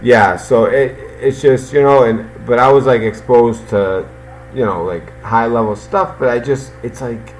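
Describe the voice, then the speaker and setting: A man with a Boston accent hosting a call-in show, talking haltingly with many fillers. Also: mid-range voice, over music, rough recording. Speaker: host. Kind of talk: casual call-in chat.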